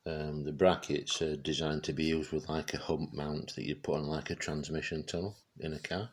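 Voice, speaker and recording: A man giving a casual, unscripted product demonstration, speaking haltingly as he searches for words. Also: very deep voice, flat delivery, breathy edge. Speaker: presenter showing vintage radio gear.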